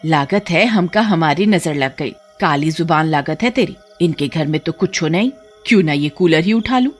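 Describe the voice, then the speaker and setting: A woman voicing a sly rustic thief-witch in an animated horror story, with drawn-out singsong Eastern Hindi village speech. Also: sits low, faint music behind. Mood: sly, envious. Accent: Eastern Hindi belt, Bhojpuri-style.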